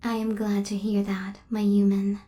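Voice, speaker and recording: A soft, breathy female killer robot, acted by a woman in an ASMR roleplay. The tone is dark and mellow, with very little rise and fall. The phrasing is formal and measured like a machine, yet tenderly possessive and devoted. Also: mid-range voice, monotone, lightly acted. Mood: tender, attached. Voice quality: soft, breathy half-whisper. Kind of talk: intimate ASMR roleplay acting.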